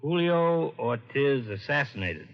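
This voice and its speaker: An actor playing a businesslike, methodical police lieutenant in an old-time radio drama. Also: male voice, mid-range voice, animated, measured pace, gravelly. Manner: matter-of-fact, methodical. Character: businesslike male police lieutenant, matter-of-fact. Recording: dull vintage radio recording.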